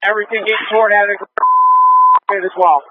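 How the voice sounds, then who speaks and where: A man's voice: sits high, huge pitch swings, moderate pace. A man working as a police officer, giving short replies over a police radio. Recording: noisy, tinny police radio with clicks.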